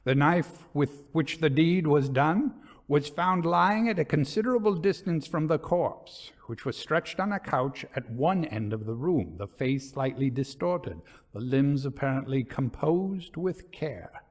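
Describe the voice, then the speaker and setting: A man reading a literary ghost story as a storyteller, in measured, dramatic, formal narration. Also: fairly high pitch, expressive.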